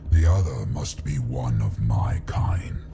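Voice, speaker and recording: Ancient dragon, very deep and gravelly. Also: male voice, expressive, heavily acted. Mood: regretful, grave. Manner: slow, portentous, archaic phrasing. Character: ancient weary male dragon, solemn and grave. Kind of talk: fantasy video game acting.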